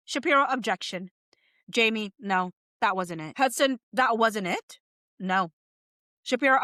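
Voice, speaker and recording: A woman's voice: on the high side, fairly bright tone, no room sound, studio quality. A woman narrator reading a legal deposition transcript aloud, questions and answers alike.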